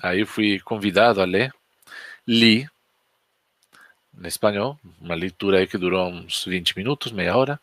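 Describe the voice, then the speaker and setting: A man with a Spanish accent speaks in a fairly low voice, telling a story in an easy, chatty way. His native tongue is Spanish, and he teaches as if chatting with his viewers on a live stream. The sound is close and dry, with no room echo. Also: normal speed, pauses often, a bit bright. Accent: Spanish from Spain. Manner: chatty, anecdotal, asks the audience questions.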